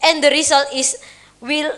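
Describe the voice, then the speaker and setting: High-voiced teenage woman, Indonesian accent, non-native English, brief hesitant rebuttal. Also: level tone, normal speed, a bit bright. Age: teens. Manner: short, hesitant rebuttal with repeated words. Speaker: student debater, government. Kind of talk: point of information in a school debate.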